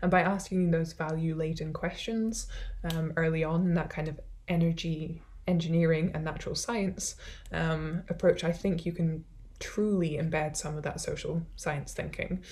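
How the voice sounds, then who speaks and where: Scottish female scholar, low register, conversational Q&A delivery, reflective and modest. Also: steady tone, normal speed, darkish tone. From Scotland. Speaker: academic speaker, social science scholar. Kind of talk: conversational question-and-answer after an academic talk.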